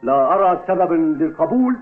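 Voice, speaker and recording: An upright young team captain in a cartoon, with a high, earnest voice. He speaks firmly, and the audio is thin and phone-like. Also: very animated, brisk. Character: principled, earnest male school football team captain. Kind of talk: Arabic cartoon dubbing. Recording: tinny, phone-like old TV dub audio.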